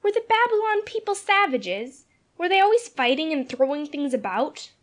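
A woman's voice: high voice, big pitch swings, moderate pace. A woman playing a timid, anxious little sister in a dramatized children's audiobook, with a nervous, childlike manner. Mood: anxious questioning.

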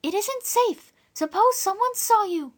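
Woman narrator as an anxious young girl; pitched way up, urgent. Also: lively intonation, measured pace. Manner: high, urgent, pleading.

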